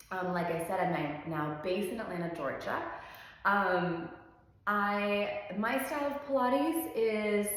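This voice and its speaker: A woman pilates instructor in her thirties, originally from France, chats through her story at an easy pace, filling pauses with ums. Her voice sits at a middle pitch and rings out in a very echoey room with a long reverb tail. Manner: easygoing, frequent ums, warm enthusiasm. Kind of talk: relaxed personal introduction.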